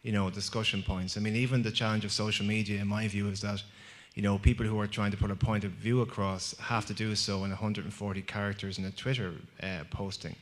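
A man's voice: lowish voice, level tone, fast. A man asking a long question from the floor at a conference, rambling and searching for words. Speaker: audience questioner. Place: conference function room.

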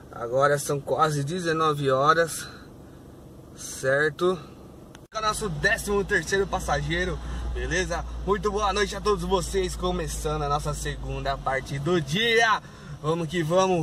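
Upbeat young driver vlogging. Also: male voice, a little high, fairly bright tone. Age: young adult. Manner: upbeat, keeps checking in with viewers. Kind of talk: casual vlog to camera. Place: inside a car, day and night. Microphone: phone camera on dashboard. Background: faint car and road noise.

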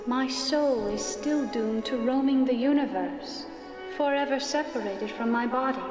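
Echoing, sorrowful ghost woman. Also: fairly high pitch. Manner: slow, formal, pleading. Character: gentle, sorrowful female ghost, solemn and resigned. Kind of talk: video game cutscene acting. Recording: echoey hall, distant, music behind.

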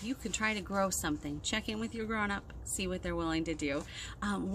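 A woman's voice: mid-range voice, a bit bright. Chatty woman storytime host, noisy outdoor recording. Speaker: children's librarian and storytime host. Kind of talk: casual instructional talk to young children. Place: outdoors on a backyard deck. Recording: outdoor recording with wind and ambient noise.

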